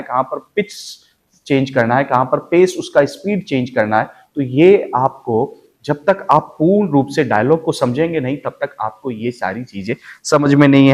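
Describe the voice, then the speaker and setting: Male acting coach, explanatory and emphatic. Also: medium pitch. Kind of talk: instructional acting lesson with spoken demonstrations.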